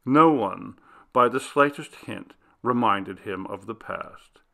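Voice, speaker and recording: A man reading a classic Gothic story as scripted narration, in a theatrical, deliberate, literary manner. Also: fairly low pitch, creaky, pushed and bright. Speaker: audiobook narrator.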